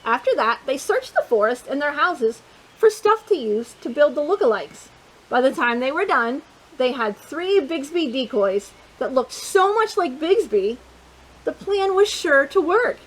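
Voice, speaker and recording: High-pitched woman reading a children's story. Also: unhurried, rough recording. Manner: expressive, asks listeners rhetorical questions. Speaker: storytime host reading a picture book to children. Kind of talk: read-aloud children's storytelling with chatty asides.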